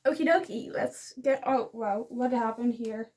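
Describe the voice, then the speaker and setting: A woman's voice: a little high, a little slow, darkish tone. A young woman whose first language is Dutch, casually chatting and gushing about books she loves in a rambling, giggly way. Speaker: book vlogger. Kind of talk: casual book review vlog.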